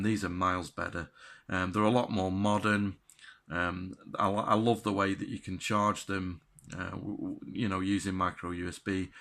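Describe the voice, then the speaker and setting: Deep-voiced man reviewing casually. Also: sharp tone. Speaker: product reviewer. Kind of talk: casual unscripted product review.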